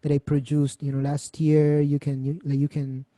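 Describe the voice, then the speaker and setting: Young male musician from Italy, soft and hesitant, mellow tone in a dry room. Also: on the high side, completely flat delivery, normal speed, no room sound. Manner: soft-spoken, hesitant, many fillers and restarts. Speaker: musician guest. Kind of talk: casual interview answers.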